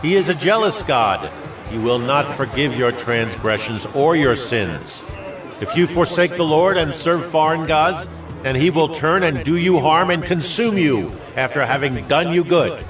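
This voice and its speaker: A man's voice: on the high side, animated, a little slow, far from the mic. An actor playing a commanding old Israelite leader exhorting his people, solemn and emphatic, in a dramatized audio Bible. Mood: fervent, stern. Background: music.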